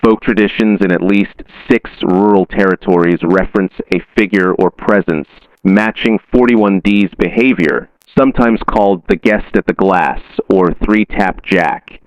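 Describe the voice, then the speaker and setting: A man reading a scripted horror report like a documentary, in a measured, solemn and deliberate manner. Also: deep voice. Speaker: narrator of a horror story. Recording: dull lo-fi sound with room echo.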